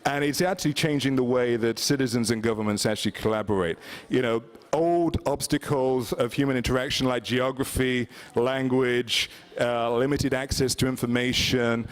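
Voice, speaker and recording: A man's voice: medium pitch, normal speed. Rambling man giving a conference talk. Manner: rambling, many fillers. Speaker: conference speaker. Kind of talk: presentation talk. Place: conference hall.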